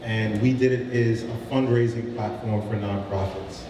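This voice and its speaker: A man who founded a startup gives an informal pitch talk to a live audience, with many fillers and restarts. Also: steady tone, moderate pace, noisy background. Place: event room with audience. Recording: roomy hall, mic from a distance.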